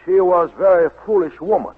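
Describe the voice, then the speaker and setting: Creepy gloating officer villain, high male voice, formal and menacing, tinny 1940s radio play. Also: husky. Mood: cold menace. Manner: formal, deliberate, sinister. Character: menacing, coldly gloating Japanese military villain. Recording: faintly noisy, phone-like 1940s radio recording.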